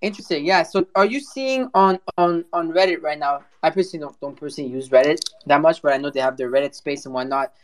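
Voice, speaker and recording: A man's voice: on the high side, fast talker, few pauses. A man hosting a casual live audio panel, informal and prone to swearing. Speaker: host.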